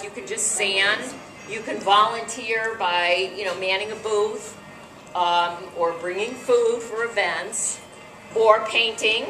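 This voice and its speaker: A middle-aged American woman with a loud voice, hosting an event and giving an informal, chatty talk to a gathering of guests. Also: mid-range voice. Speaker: event host and board director. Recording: echoey room from far off, faint music.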